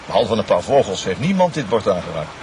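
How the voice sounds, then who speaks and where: A man's voice: fairly low pitch, sing-song, fast talker, noisy background. A man with a Netherlands Dutch accent playing a weary, matter-of-fact police detective in a radio drama, with clipped questions. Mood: weary, explaining. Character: weary, sleepless male police detective investigating murders. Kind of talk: naturalistic radio drama acting.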